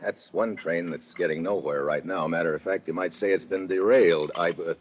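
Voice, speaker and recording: A man's voice: deep voice, brisk, a little hiss or hum, natural voice. An actor playing a terse, wry, suspicious American investigator who narrates his own case in old-time radio detective style. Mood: evasive, casual. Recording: dull vintage radio recording with music.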